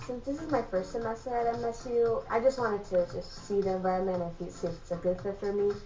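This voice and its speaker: A woman, a student interviewed in a video, giving earnest, measured answers. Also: medium pitch, fairly even intonation, dark tone. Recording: roomy, muffled video audio.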